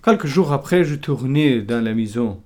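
Guy in an interview, broken french, keeps repeating little phrases, middle pitch. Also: lively intonation, normal speed, a little mellow. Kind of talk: documentary interview testimony.

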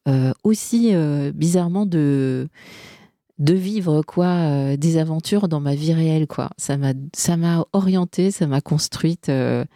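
Woman radio host, low mellow voice, dry studio sound, chatty with lots of hesitations. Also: unhurried, no echo. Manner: chatty, hesitant, many fillers. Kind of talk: casual radio chat.

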